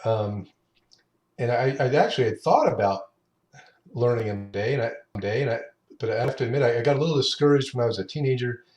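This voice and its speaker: A man in his late fifties chatting as a podcast guest, talking unhurriedly with halting restarts. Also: mid-range voice, darkish tone. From Utah. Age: 50s. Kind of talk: casual interview chat. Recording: roomy echo.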